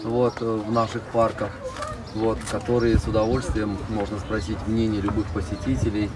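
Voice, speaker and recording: Casting: middle-aged man, park director showing visitors around, casual phrasing with pauses, recorded outdoors in a vehicle. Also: fairly low pitch, little pitch movement. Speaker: zoo director giving an interview and tour. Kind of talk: casual guided tour talk. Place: open cab of a small vehicle driving through an outdoor park.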